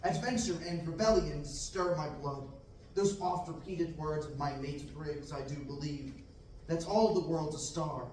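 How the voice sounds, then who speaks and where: A man's voice: fairly high pitch, unhurried, darkish tone, a little hiss or hum, lightly acted. A young man reading the part of a restless young farm boy in Shakespearean verse at a student table reading, earnest and declaiming. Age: young adult. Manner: earnest, declaiming, occasional slips. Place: large classroom, indoors. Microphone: far camera. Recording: echoey room, from far off.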